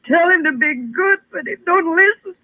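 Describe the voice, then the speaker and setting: A woman's voice: very high voice, animated, normal speed, no echo. A woman playing a weary, plaintive mother of a wayward boy in a 1950s western radio drama. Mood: pleading. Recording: tinny, narrow-band old radio recording.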